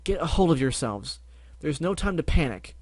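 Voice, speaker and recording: A woman's voice: deep voice, sing-song, moderate pace, darkish tone, slightly rough, no echo, voice pushed up, full character voice. A man voicing a bossy, studious purple unicorn mare who speaks firmly and commands. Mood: stern. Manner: firm and commanding.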